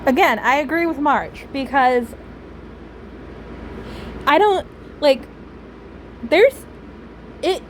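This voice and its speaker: A woman giving casual, rambling, opinionated commentary on a game story in a halting way. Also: high-pitched, lively intonation, slight background noise, low-quality recording. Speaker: streamer reacting to a game story.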